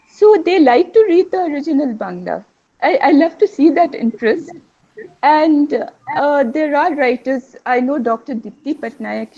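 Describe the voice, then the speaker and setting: A woman's voice: sits high, darkish tone, dull audio. A woman with a Bengali mother tongue, speaking as a panelist in a literary discussion, enthusiastic and rambling, often correcting herself mid-phrase.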